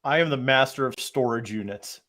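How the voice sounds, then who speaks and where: A man's voice: medium pitch, moderate pace, fairly bright tone. A middle-aged man talking informally as a panelist on a podcast. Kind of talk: casual chat.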